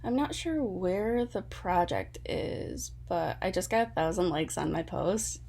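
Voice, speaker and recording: A woman's voice: lowish voice, lively intonation, measured pace, creaky, a little mellow, full character voice. A young woman playing a distracted, vain student in a mockumentary. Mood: self-absorbed. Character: distracted, vain social-media-obsessed female student. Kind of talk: acted mockumentary.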